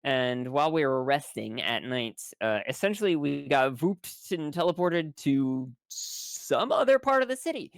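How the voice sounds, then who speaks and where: The speaker has a mid-pitched voice in a dry recording with no room sound. They recap game events casually and haltingly, in wry, colloquial language peppered with swearing. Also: animated, vocal fry, smooth, no echo. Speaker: tabletop role-playing game player. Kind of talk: casual, rambling spoken story recap.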